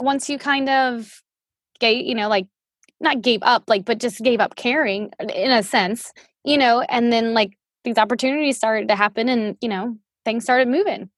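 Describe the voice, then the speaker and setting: A woman's voice: a little high, no room sound, studio quality. A woman hosting a podcast in casual chat, upbeat and encouraging with her guest. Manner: upbeat, encouraging, quick to laugh. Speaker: podcast host.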